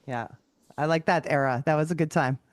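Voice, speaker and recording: A woman's voice: sits low, fairly quick, dry recording, clean studio sound. A woman with a Canadian accent hosting a podcast and asking questions in a casual interview style.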